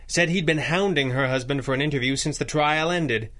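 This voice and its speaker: An actor playing a calm, wealthy masked crime-fighter in a 1930s-style radio drama, articulate and dryly witty. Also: male voice, a little high, lightly acted. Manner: dry, measured, articulate.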